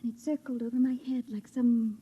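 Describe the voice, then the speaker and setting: High, breathy, earnest young woman. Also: level tone, a little fast, dark tone. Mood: wonderstruck. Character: earnest, dreamy young woman, wonderstruck witness. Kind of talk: 1950s radio drama acting. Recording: dull, muffled vintage radio recording.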